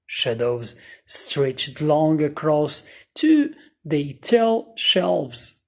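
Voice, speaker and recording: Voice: medium pitch, sing-song, extremely slow. A storyteller reading a scripted bedtime story for children.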